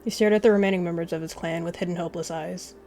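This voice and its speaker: A woman's voice: lowish voice, fairly even intonation, very fast, dark tone, soft background music. A woman narrating a roleplay story dramatically as she plays. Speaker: roleplay narrator, video creator.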